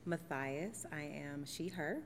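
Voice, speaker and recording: A woman in her forties with a notably low voice speaks in a steady, reflective way, often pausing on an um. She is from St. Louis and is telling her life story in an oral history interview. Her voice carries a long reverb from a theater hall, with faint noise underneath. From St. Louis, Missouri, USA. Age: 40s. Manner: reflective, steady, with frequent ums. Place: theater venue.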